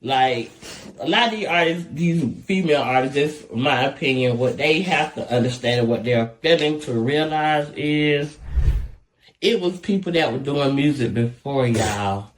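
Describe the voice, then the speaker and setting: A woman's voice: very deep voice, unhurried, slight background noise. A woman hosting a casual interview, often nodding along with short replies. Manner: agreeable, lots of short backchannels. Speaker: interview host.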